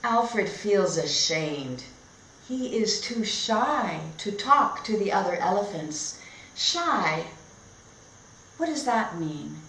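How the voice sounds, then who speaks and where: A woman reads a children's story aloud as a teacher, at a slow and careful pace. She keeps her phrases short and simple and often turns to questions for her listeners. The room is echoey, with noticeable background noise. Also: mid-range voice, very animated, a bit bright. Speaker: teacher demonstrating read-aloud techniques. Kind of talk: storybook read-aloud lesson for young learners.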